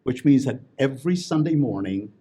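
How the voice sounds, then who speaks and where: A man's voice: mid-range voice, expressive, normal speed, warm tone, breathy edge. A man talking as an interview guest, telling stories in a chatty way with many fillers and restarts. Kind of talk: casual interview conversation.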